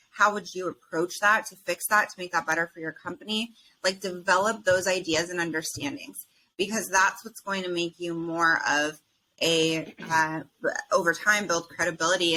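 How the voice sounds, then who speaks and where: A woman's voice: fairly low pitch, pushed and bright, slight background noise, low-quality recording. A woman speaking as a guest on a podcast, frank and emphatic, with lots of "like" and "you know". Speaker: cannabis business consultant, guest. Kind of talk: casual podcast interview. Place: outdoors on an island.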